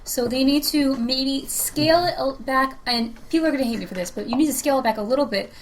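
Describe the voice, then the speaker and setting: A woman's voice: sits high, fast talker. A woman on a podcast panel, speaking assertively and giving her opinions in a casual debate. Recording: faint background noise.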